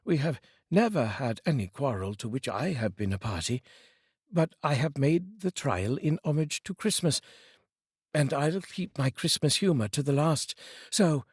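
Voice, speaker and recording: A man voicing a cheerful, warm-hearted young nephew in a storybook reading, exclaiming and pleading earnestly. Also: fairly high pitch, animated, measured pace, husky, no room sound, clean studio sound, pitched above the performer's own voice. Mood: heartfelt.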